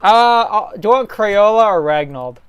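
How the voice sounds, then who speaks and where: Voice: mid-range voice, expressive, normal speed, clear, resonant tone. A co-host chatting casually and explaining things in an easygoing way while organizing a story reading. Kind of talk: casual chat.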